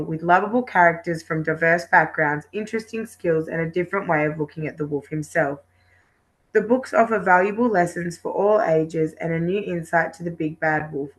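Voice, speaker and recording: A woman's voice: on the low side, low-quality recording. A woman student presenter reading out a rehearsed talk from a script, carefully, with the occasional stumble or repeat.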